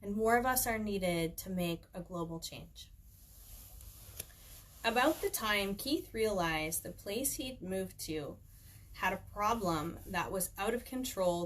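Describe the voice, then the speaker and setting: A woman's voice: mid-range voice, rough recording. A woman author reading her own book aloud at home in an informal, conversational way. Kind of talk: reading aloud with casual chatty asides. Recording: clear background noise, home recording.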